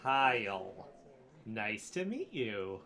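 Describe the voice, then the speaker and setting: A man's voice: lowish voice, sing-song, drawn-out words, gravelly, pushed and bright, heavily acted. A man playing an earnest, friendly, slightly defensive guy in an audio drama, speaking casually. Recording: crowd chatter behind voice.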